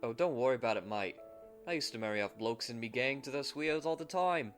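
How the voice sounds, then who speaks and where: A man voicing a rough, chummy male rogue with blunt, slangy British-style speech in an anime fan roleplay. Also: medium pitch, animated, fast, faint music behind, pitched above the performer's own voice, natural voice. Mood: hearty, teasing.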